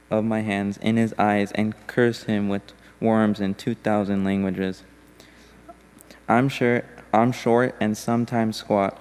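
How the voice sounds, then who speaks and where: A man with a low, smooth and resonant voice reads a poem aloud in a church service. His recitation is steady and deliberate. Long reverb from the church hall trails after each line. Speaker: congregation member reading a poem. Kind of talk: measured poetry reading in a church service. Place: church sanctuary.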